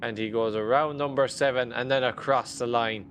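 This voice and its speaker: A man's voice: medium pitch, a little hiss or hum. A Scottish man co-commentating a virtual drift event, calling runs energetically. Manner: energetic play-by-play, quick laughs. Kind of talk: live esports play-by-play.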